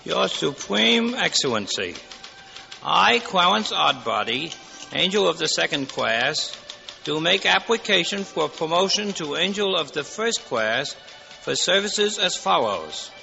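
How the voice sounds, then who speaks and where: A man's voice: a little high, big pitch swings, measured pace, gravelly, pushed and bright, exaggerated voice. A man playing an eager, pompous, eccentric angel with florid, formal diction in a 1940s radio drama. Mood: pompous, proud. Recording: dull 1940s radio, long hall reverb, music.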